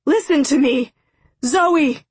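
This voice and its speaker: A woman's voice: on the high side, steady tone, normal speed, husky, no room sound. A woman voicing a terrified, tearful father who pleads breathlessly in an audiobook story. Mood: anguished screaming. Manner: pleading, breathless, repetitive outcries. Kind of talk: audiobook character acting.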